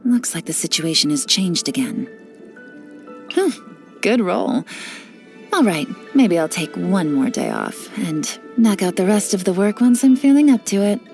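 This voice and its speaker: A woman voicing a cool, teasing female spy in a studio game, with a knowing, relaxed way of talking. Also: medium pitch, measured pace, soft background music. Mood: sly. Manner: teasing, relaxed, lightly sly. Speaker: game character voice lines.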